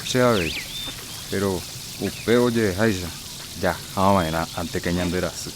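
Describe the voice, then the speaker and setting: A man's voice: low-pitched, measured pace, background noise. An actor playing a talkative, opinionated village man in a radio drama, chatting in a gossipy way.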